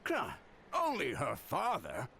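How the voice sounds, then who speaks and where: Creaky, wildly swooping exasperated father creature. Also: on the high side, wildly swooping pitch, slow pace, sharp tone, slight background noise, full character voice. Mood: indignant. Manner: theatrical, indignant, chatty. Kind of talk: video game character dialogue.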